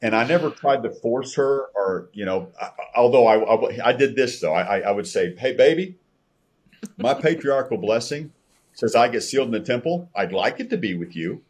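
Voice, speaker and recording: An older American man around sixty, telling his personal testimony as a podcast guest in an earnest, halting way over a video call from a room at home. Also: mid-range voice, lively intonation, a little mellow, slightly rough. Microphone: video call, computer microphone at desk distance.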